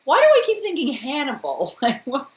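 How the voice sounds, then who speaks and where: Woman, philly accent, animated lively pitch, roomy echoey sound, podcast co-host. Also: a little fast. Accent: Philadelphia. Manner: animated, jokey, playful. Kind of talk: casual recap chat. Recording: roomy echo.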